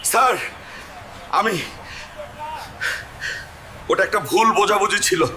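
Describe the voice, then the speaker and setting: A man's voice: high-pitched, slow pace, noisy background. A man playing a corrupt, bullying police officer who turns servile before superiors, in a story drama. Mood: nervous servile.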